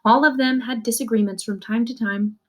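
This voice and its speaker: Higher-voiced woman reading a story aloud. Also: fairly even intonation, fairly quick. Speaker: teacher reading a children's novel aloud. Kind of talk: read-aloud storytelling with brief explanations.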